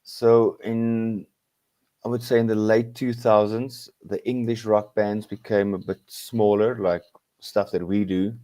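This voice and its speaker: A South African man whose first language is Afrikaans, a rock musician guest talking in a halting way with many pauses and restarts. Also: on the low side, flat delivery, mellow, no echo. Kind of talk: casual interview chat.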